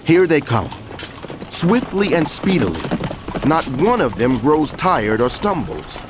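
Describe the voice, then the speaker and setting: A man's voice: on the high side, wildly swooping pitch, measured pace, background noise. A man playing a solemn prophet who proclaims woe in an emphatic, declamatory way in dramatized scripture narration. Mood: urgent.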